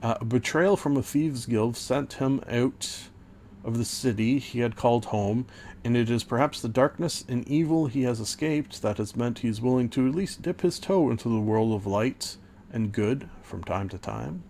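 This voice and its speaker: A man hosting a podcast, casually recapping an old tabletop campaign, rambling with many fillers and self-corrections, and a little hoarse. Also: mid-range voice, moderate pace, a little mellow, slight background noise. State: losing his voice. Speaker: podcast host and game master.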